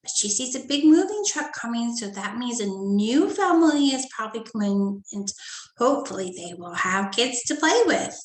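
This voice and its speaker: Sing-song teacher reading to small children. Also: female voice, on the high side, moderate pace. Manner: sing-song and animated, with frequent soft whispered asides. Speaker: teacher reading a story to first graders. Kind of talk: storybook read-aloud lesson for young children. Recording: echoey room.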